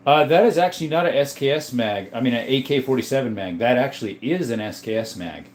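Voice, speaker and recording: A man hosting a casual livestream from a room full of guns, reading viewer comments aloud in a relaxed, joking way. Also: medium pitch, fast talker. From Arizona. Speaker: livestream host reading chat. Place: indoors, room with guns on display.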